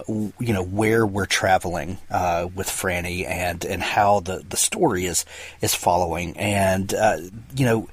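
A man interviewing an author, speaking haltingly with many fillers and restarts. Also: lowish voice, breathy edge, no room sound. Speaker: interviewer host. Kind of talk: interview conversation.

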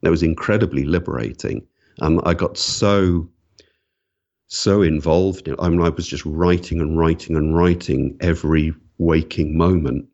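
Deep-voiced author, muffled audio. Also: male voice, fairly even intonation, moderate pace, a little mellow. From Wales. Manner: thoughtful, with frequent restarts. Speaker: guest author. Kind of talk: reflective interview answers.

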